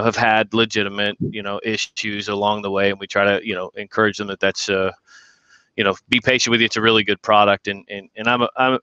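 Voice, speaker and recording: Bright, crisp lower-voiced man, dry sound. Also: dry recording. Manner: appreciative, halting with many fillers. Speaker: owner group host. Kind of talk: video call interview. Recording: dry, dull video call audio.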